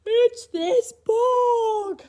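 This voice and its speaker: A woman's voice: extremely high pitch, extremely slow, mellow, voice pushed up, heavily acted. A woman voicing a plaintive, howling dog from a children's storybook, wailing and stretching out the words.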